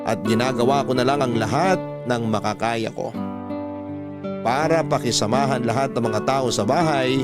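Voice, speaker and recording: A man narrating a listener's letter in a radio drama, reading in a steady, measured way. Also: mid-range voice, over loud music.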